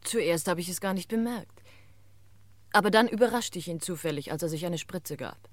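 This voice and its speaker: A composed wife under police questioning in an old radio crime play, acted by a woman. Her voice is a little low and very dry, with measured, subdued, precise delivery. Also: no room sound, lightly acted. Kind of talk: mid-century German radio crime drama acting.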